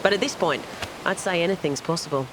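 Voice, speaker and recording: A woman's voice: on the low side, fast, noisy background, lightly acted. A woman playing a cool, dry, teasing treasure hunter in an audio drama.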